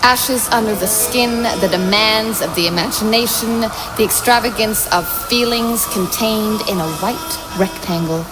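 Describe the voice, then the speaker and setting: A woman giving a slow, measured spoken-word poetry reading. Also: a little high, background noise. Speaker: poetry reader. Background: loud music soundscape.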